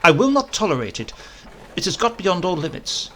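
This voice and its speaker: A man doing a prim young parson, high and fussy. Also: sing-song, normal speed, fairly bright tone, a little hiss or hum. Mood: indignant. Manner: fussy, indignant, preachy.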